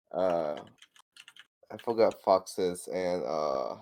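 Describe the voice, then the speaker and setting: A man's voice: low-pitched, dark tone. A man playing a tabletop roleplaying game, improvising in character with stumbling, apologetic phrasing.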